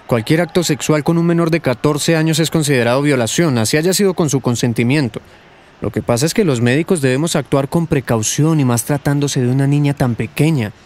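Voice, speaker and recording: A man's voice: a little high, a little fast, darkish tone, lightly acted. A man playing a formal, officious hospital doctor in a radio drama, firm and dismissive, with a Colombian accent. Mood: firm, cautious.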